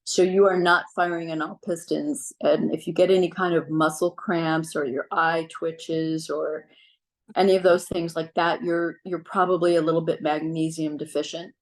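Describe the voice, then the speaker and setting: A middle-aged woman talks through wellness tips in a relaxed, chatty way, pausing on ums. Her voice sits a little low. She is heard over a video call. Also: low-quality recording. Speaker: wellness speaker. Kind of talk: casual advice talk on a video call.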